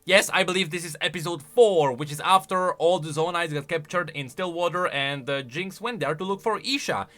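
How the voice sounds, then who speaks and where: A man giving casual, animated reaction commentary on game voice lines, with emphatic bursts and quieter asides. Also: a little high, moderate pace, few pauses.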